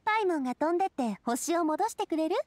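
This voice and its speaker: A woman's voice: extremely high pitch, expressive, quick pace, heavy background noise. A woman voicing a confident, plucky young woman adventurer in an anime-style game, assured and persuasive. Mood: matter-of-fact.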